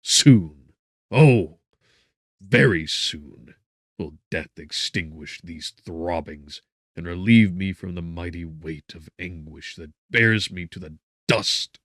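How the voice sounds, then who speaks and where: A man performing an anguished, guilt-ridden gentleman narrator in a gothic audiobook, theatrical, moving between hushed and forceful delivery with drawn-out words. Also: low-pitched, no room sound, clean studio sound, exaggerated voice.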